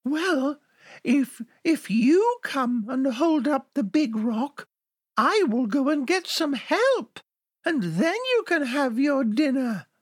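A storyteller voicing a clever little female lamb who pleads in a sweet, high voice in a children's story. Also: very animated, slow pace, no echo, clean studio sound, full character voice. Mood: pleading sweetly.

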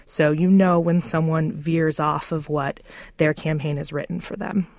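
A woman's voice: sits low, steady tone, a little slow, a little mellow, dry recording, studio quality. A woman giving conversational answers as an interview guest, speaking as a political consultant.

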